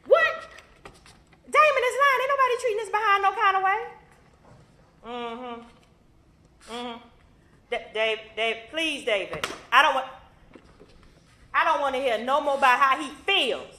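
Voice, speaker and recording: A harsh, scornful mother who snaps and scolds, as a woman plays her, with a high, lively, sharp voice. Her words bounce around an echoey room. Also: measured pace, long pauses. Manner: scolding, sharp Southern slang. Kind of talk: stage play acting.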